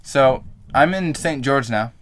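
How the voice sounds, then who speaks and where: A man's voice: mid-range voice, expressive, slow pace, a bit bright. A young man vlogging to camera in a casual, rambling way, full of fillers and self-corrections.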